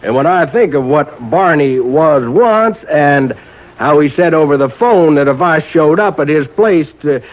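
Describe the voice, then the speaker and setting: Folksy old rural American agent, vintage radio. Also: male voice, on the high side, animated. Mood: uneasy. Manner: folksy, hesitant, drawn-out storytelling. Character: folksy, crafty, nervous old small-town insurance agent. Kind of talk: old-time American radio drama acting. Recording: narrow-band vintage radio recording.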